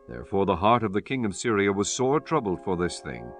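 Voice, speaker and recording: A man narrating dramatized scripture in a solemn, measured storytelling manner. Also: fairly low pitch, sing-song. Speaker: audiobook narrator. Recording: music bed under the voice. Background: music.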